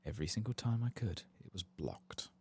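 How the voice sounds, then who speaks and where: A man giving casual, rambling, wry and sweary soft-spoken ASMR commentary on a game, close to the mic. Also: deep voice, animated, a little fast, breathy edge. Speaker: host of a game playthrough channel.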